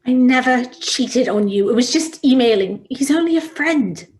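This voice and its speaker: A woman's voice: high-pitched, lively intonation, normal speed, darkish tone. A woman playing a distraught woman in her late twenties arguing with her partner in a drama table read. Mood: pleading, upset.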